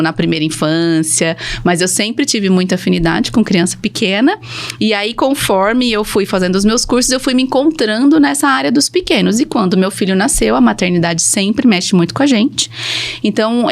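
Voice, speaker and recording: A woman with a Brazilian accent, a speech therapist speaking as a podcast guest in casual, personal storytelling. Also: on the high side.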